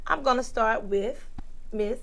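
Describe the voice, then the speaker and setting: A woman in her forties hosting a casual group podcast chat, upbeat and quick to laugh. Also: a little high, vocal fry, fairly bright tone. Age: 40s. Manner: upbeat, laughs easily, exclamatory. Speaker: podcast host.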